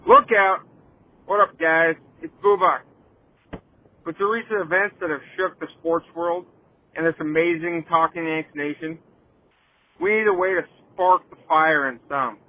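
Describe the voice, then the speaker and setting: A man's voice: fairly high pitch, steady tone, measured pace. A man leaving a casual voicemail for podcast hosts over a dull phone line. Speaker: voicemail caller.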